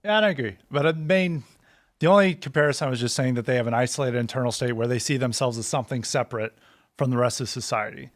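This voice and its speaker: A man joining a podcast panel debate, interjecting with halting restarts. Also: medium pitch, no echo, clean studio sound. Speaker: panel guest.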